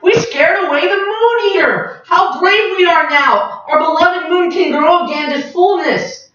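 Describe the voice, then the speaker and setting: A chorus of timid night animals from a picture book whine and plead in high voices, as a woman puts them on. The recording is heard from far off in a very echoey room. The sound is muffled and lo-fi. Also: expressive, measured pace, fairly bright tone. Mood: triumphant. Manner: whiny, pleading.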